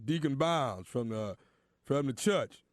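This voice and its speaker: A man's voice: mid-range voice, animated, takes it slowly, no room sound, studio quality, pitched below the performer's own voice. A man playing a bumbling, apologetic church deacon in a prank call, stammering and repeating his words in a folksy way. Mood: halting, officious.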